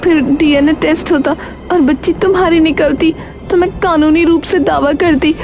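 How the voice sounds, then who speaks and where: A woman's voice: sits high, steady tone, moderate pace, music in the background, voice pushed up. A woman playing a scheming, tearful young woman in a cartoon moral story, accusing and self-pitying. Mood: scheming confession. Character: scheming, wailing young woman nursing a grudge.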